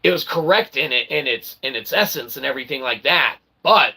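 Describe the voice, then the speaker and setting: Sharp, gravelly man rambling philosophically. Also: mid-range voice, lively intonation, a little fast. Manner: rambling, wordy pseudo-formal phrasing with frequent "okay" and "all right" check-ins. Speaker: independent online philosophy presenter. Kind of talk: improvised philosophical monologue with casual asides.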